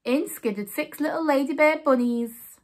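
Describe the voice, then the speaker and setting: A woman's voice: high-pitched, a little slow. A woman in her thirties hosting storytime, reading aloud to young children in a sing-song way.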